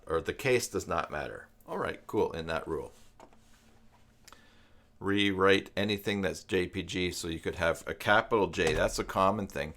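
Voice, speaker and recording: A man with a Canadian accent hosting a casual live tutorial, thinking aloud in a halting, musing way. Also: low-pitched, a bit bright. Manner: halting, musing, reads aloud and mutters to himself. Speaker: live stream host, web tutorial presenter.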